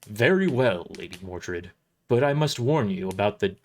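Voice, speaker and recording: A man voicing a giant armored crusader in an audio drama, speaking in a formal, measured and blunt way. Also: on the low side, animated. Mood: formal, concerned.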